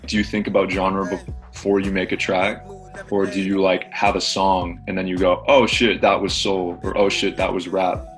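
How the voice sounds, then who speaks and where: A man hosting a casual interview, asking relaxed, conversational questions. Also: sits low, moderate pace, soft background music. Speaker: interviewer host.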